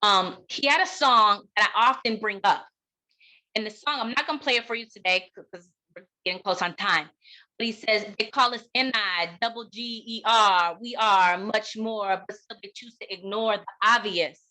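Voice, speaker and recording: A middle-aged American woman delivering an impassioned keynote talk in an emphatic, deliberate way, with rhetorical pauses and repetition. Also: medium pitch, bright, forceful tone, rough recording. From United States. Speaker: keynote speaker and educator.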